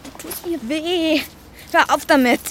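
An actress in a radio drama playing a hurt, defiant young girlfriend, emotional and sharp-tongued. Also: sits high, measured pace, slight background noise. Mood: frightened.

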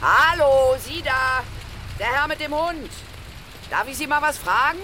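A woman playing a brisk, eccentric, self-assured older lady ghost hunter in a children's radio play. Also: high-pitched, animated, full character voice. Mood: insistent. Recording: loud rain and car noise.

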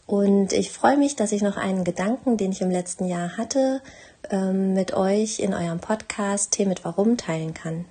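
A woman's voice: mid-range voice, moderate pace, warm tone, husky. A woman giving a personal, reflective voice message as a guest contributor.

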